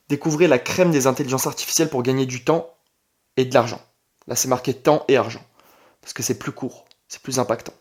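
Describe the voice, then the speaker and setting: Young guy, entrepreneur type vlogging, casual, repeats stuff for emphasis, mid-range voice. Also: medium pitch. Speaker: online entrepreneur and video creator. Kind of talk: casual vlog monologue.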